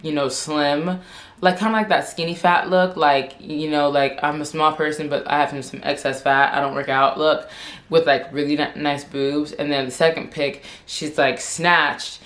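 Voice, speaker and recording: A woman's voice: deep voice, steady tone, moderate pace, a bit bright. A woman in her twenties telling a story to camera in a chatty, rambling way, full of "like", with a stutter and repeated words. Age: 20s. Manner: chatty and rambling, heavy use of "like". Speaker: vlogger.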